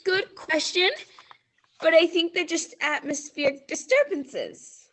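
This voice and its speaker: Brief: a young woman as a muddled astronomer, very high, bouncy, slow-talking. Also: a bit bright, exaggerated voice. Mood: breezy. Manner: flustered, losing her lines, giggly. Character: flustered veteran female astronomer, clueless. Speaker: student actor. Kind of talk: stage radio-play acting.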